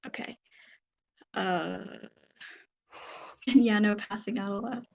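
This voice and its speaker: A young woman hosting a casual online interview, her voice a little soft and hoarse, talking with many fillers and pauses. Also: medium pitch, lo-fi sound. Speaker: interview host.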